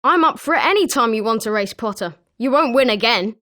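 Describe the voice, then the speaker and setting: High-pitched, eager British schoolboy played by a woman. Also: expressive, a little fast, fairly bright tone. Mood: eager, challenging. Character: eager, sporting schoolboy wizard, friendly and competitive. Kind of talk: scripted video game character lines.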